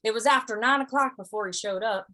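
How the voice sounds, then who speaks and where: A woman's voice: fairly high pitch, quick pace, pushed and bright. A woman acting a plain-spoken, stubborn but easygoing cowpoke in a 1950s-style Western radio drama, with folksy phrasing. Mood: wry, weary narrating.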